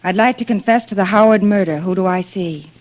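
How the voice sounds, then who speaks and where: An actress playing a distraught, guilt-ridden young woman in an old radio drama, speaking in a halting, anguished way. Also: medium pitch, lightly acted. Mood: numb, resigned. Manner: halting, anguished, self-accusing. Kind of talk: 1940s-50s radio drama acting.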